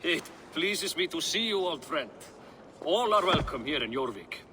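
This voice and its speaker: A cautious, weary Norse elder. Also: male voice, fairly high pitch, very animated, measured pace, background noise. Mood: warm. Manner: cautious, reasoned. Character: older male Norse official, cautious and weary. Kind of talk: video game cutscene acting.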